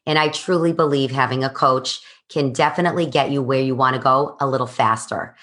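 Woman in her forties, fitness coach, very low voice, emphatic, encouraging. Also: steady tone, fairly quick, a bit bright. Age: 40s. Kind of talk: motivational interview answers.

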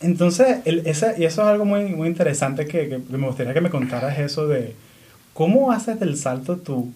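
Male host, a bit high-pitched, keeps the guest going with short encouraging replies. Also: lively intonation, normal speed, warm tone. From born in Venezuela, raised in Florida. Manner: encouraging, frequent brief affirmations. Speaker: interviewer. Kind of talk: casual interview chat.

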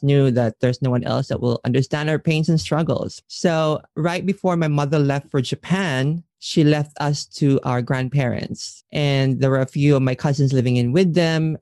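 A man's voice: mid-range voice, a little mellow. A mid-range male voice with a Filipino accent tells personal stories as an interview guest. The sound is very dry, with no room echo around the words. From Philippines. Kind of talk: personal storytelling.

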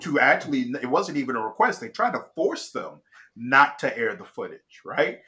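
A man from Chicago talks through the news as host, his voice bright and forward. He is emphatic and stops to check in with his listener. The delivery is loose and conversational. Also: medium pitch, very animated, moderate pace. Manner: emphatic, restarts and checks in with "all right?". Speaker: political commentary host. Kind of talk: casual political commentary.

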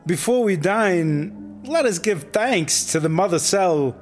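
An actor playing a solemn, pompous possum elder in a sci-fi comedy audio drama, speaking with formal ceremony. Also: male voice, high voice, expressive, slow. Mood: ceremonious. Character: solemn male possum elder of an underground sect. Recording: music behind the voice.

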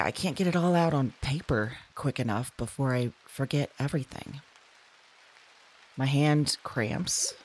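A woman playing an uneasy, self-conscious dreamer in an audio drama, speaking haltingly into a voice memo and trailing off. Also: a bass voice, darkish tone, a little hiss or hum, full character voice. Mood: hesitant, drowsy.

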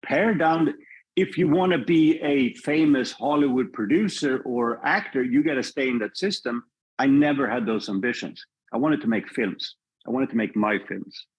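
A male filmmaker being interviewed on a podcast, talking in a measured, reflective way and often restarting his sentences. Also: mid-range voice, slightly rough, highs cut off. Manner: thoughtful, measured, with frequent restarts. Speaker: filmmaker guest.

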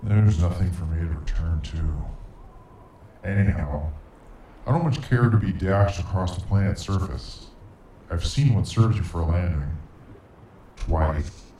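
A man playing a grave, formal interdimensional being in an audio drama, speaking with slow, archaic deliberation. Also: sits low, mellow, echoey room, a little hiss or hum, poor audio. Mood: dry, aloof. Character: male nine-foot interdimensional void being, grave, solemn, ancient.

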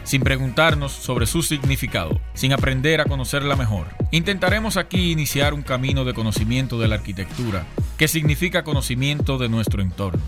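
A man's voice: medium pitch, expressive. Man narrating a scripted radio segment. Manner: measured, read from a script. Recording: voice over music bed.

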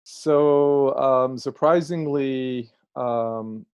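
A man's voice: medium pitch, drawn-out words, darkish tone, smooth, dry recording. A man in his thirties with an Israeli accent, a company co-founder answering interview questions with many ums. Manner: hesitant, lots of ums, light laughs. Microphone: remote call. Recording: dry, dull lo-fi call audio.

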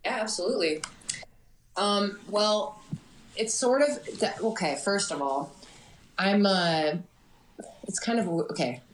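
A woman's voice: mid-range voice, takes it slowly, pauses often, a little hiss or hum, rough recording. A woman, a musician guest, talking casually in a hesitant, giggly way. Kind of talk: casual interview chat.

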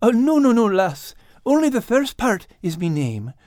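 Woman doing a high-pitched, sheepish Irish tomcat. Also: sing-song, moderate pace, dark tone, dry recording, clean studio sound. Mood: flustered, apologetic. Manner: sheepish, self-deprecating. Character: humble, dopey, apologetic male Irish cat, cowardly but sweet.

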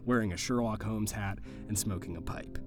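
A man's voice: lowish voice, moderate pace, over music. A man narrating a tabletop game as game master, casual and enthusiastic in his delivery.

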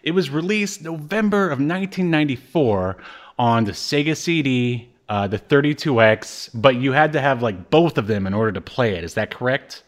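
A man's voice: mid-range voice, animated, normal speed. A man co-hosting a casual game review show, joking, poking fun at himself and wandering off on tangents. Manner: jokey, self-deprecating, digressive. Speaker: podcast co-host.

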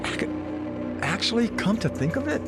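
A man's voice: high voice, big pitch swings, moderate pace, over loud music. A man acting a laid-back, chummy new-age yoga teacher in his late twenties in a radio drama, slangy and effusive. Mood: casual, musing. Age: late 20s.

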